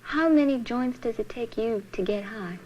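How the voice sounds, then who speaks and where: Higher-voiced woman interviewer. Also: expressive, moderate pace, darkish tone, muffled recording. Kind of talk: plain documentary interview questioning. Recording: 1970s film interview, faint noise.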